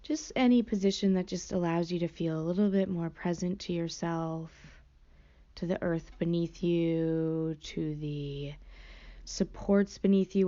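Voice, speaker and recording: Woman meditation teacher, soft and unhurried, low warm voice, slightly muffled lo-fi recording. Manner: soft, slow, gentle. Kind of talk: guided meditation.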